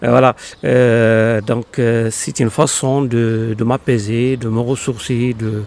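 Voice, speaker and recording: A man in his fifties from Morocco, whose first language is Arabic, speaking slowly in an interview with many pauses and fillers. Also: mid-range voice, level tone, gravelly, darkish tone. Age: 50s. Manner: halting, many fillers and restarts. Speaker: interviewed poet. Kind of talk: hesitant conversational interview. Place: local podcast studio.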